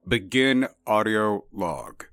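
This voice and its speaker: A man playing an officious narrator reading classified research files in an audio drama, clipped, formal and deadpan. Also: on the low side, takes it slowly, clean tone, dry recording, soft background music, exaggerated voice.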